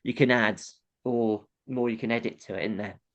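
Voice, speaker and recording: A man's voice: on the low side, steady tone, moderate pace, fairly bright tone, dull audio. A man with a nasal voice, a comedian chatting casually as an interview guest, laughing often and acting out how audiences react.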